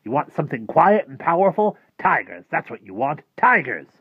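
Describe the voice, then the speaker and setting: A man acts out a sly henchman from a children's fantasy tale. He is pushy and showy, pitched up high and leaping about in tone as he wheedles. The voice is husky. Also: very animated, normal speed, dry recording, narrow, tinny audio. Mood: eager, wheedling. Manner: wheedling, showy salesman patter. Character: sly, pushy male tempter, a villain's fast-talking henchman. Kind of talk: children's fantasy audiobook character.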